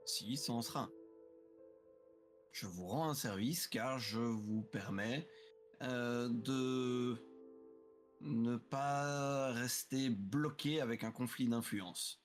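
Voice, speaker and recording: A man voicing a stiff, very English gentleman in a tabletop game, with a formal, curt and measured delivery. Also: mid-range voice.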